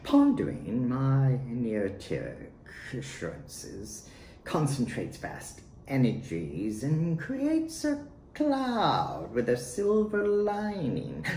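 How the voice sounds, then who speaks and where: A man recites his own poems at a slow, deliberate pace, with theatrical pauses between phrases. His pitch swoops widely from line to line. The room around him is echoey. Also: dark tone. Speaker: poet reading own work. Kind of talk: dramatic poetry recitation. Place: at home, roomy indoor space. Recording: roomy echo, faint background noise.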